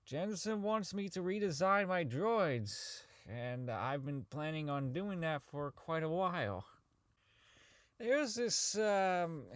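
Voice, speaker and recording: A man's voice: fairly high pitch, fairly bright tone, no room sound, studio quality. A man playing a socially inept, bitter company owner in an audio drama, rambling and stammering through his log as he slides toward madness. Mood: awkward, defensive. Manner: stammering, rambling, self-loathing, foul-mouthed.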